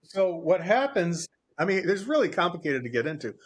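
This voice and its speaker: A man's voice: high voice, very animated, normal speed, slightly rough. A man co-hosting a casual book discussion, talking in a rambling way that often repeats and restarts phrases. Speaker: podcast co-host.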